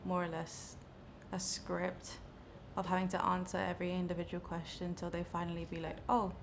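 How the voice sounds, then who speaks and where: Earnest young woman, low gravelly voice. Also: monotone, normal speed, slight background noise. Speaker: documentary interviewee. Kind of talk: candid interview.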